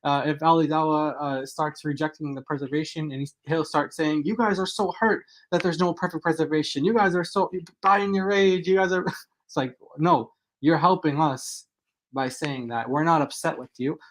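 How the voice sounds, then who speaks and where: Halting man with a higher-pitched voice. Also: low-quality recording. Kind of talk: casual unscripted discussion.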